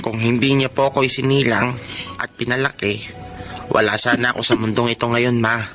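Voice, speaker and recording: Bright, earnest young Filipino man, acted out by a man. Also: medium pitch, flat delivery, brisk, faint music behind, close to an everyday voice. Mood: earnest, moved. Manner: earnest, respectful. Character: kind, forgiving young Filipino architect, devoted son. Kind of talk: emotional radio drama acting and narration.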